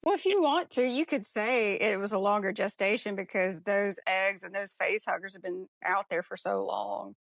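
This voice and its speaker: A woman's voice: medium pitch, moderate pace, creaky, few pauses, no echo. A woman co-hosting a podcast, chatting casually and telling a story in an informal, mildly sweary way. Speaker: podcast co-host. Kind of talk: casual film discussion chat.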